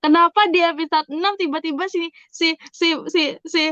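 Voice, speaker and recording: An Indonesian woman chatting casually as a podcast co-host, asking questions in a halting way and repeating her words. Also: extremely high pitch, fairly even intonation, a bit bright, no room sound, highs cut off. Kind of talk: casual chat.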